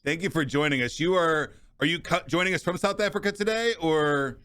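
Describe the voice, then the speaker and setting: Enthusiastic podcast host guy, crisp bright tone, talking into a studio mic. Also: fairly high pitch, brisk. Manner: enthusiastic, friendly. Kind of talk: interview over video call. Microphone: studio microphone.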